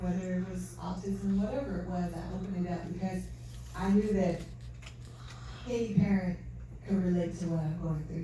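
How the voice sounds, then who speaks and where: A soft-spoken woman giving an earnest, faith-filled talk at an event, recorded from a distance in a large hall. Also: on the low side. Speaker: event speaker. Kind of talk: testimony talk. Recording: distant mic, echoey hall, faint noise.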